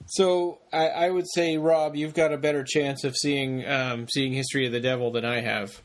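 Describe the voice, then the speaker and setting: A man's voice: medium pitch, steady tone, moderate pace. A man co-hosting a podcast, casually discussing in a tentative, thoughtful way. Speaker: podcast co-host.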